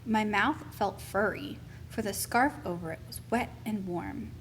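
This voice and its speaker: A woman reading a children's picture book aloud as expressive, deliberate storytelling. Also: mid-range voice, a little hiss or hum, poor audio.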